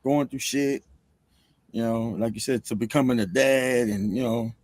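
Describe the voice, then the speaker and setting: A man's voice: mid-range voice, fairly even intonation, normal speed, a little mellow. A man in his thirties chatting casually and telling stories as a podcast guest, swearing freely and using lots of slang. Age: 30s. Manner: slangy, swearing, peppers talk with "bro" and "you know". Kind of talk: casual chat.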